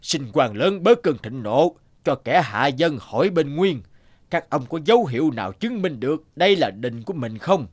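Shrewd, righteous old village sage, lively-pitched. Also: male voice, moderate pace, dry recording. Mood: composed, sly. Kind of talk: cartoon voice acting.

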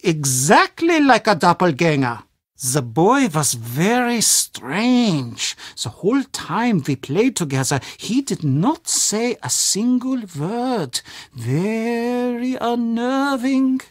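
Fretful, high-voiced older German man. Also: sing-song, dry recording, studio quality, full character voice. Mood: gloomy, haunted. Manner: fretful, melodramatic, halting formal phrasing. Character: anxious, gloomy, eccentric older German castle official. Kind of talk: video game character acting.

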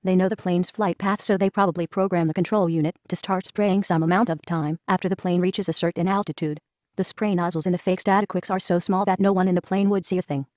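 A woman reading a first-person letter aloud in a brisk, even manner. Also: fairly low pitch, no room sound. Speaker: reader of a whistleblower letter. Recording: dull lo-fi voice track.

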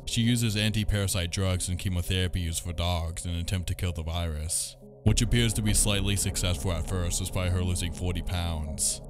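Low-voiced man narrating over loud music. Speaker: video essay narrator. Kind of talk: scripted narration.

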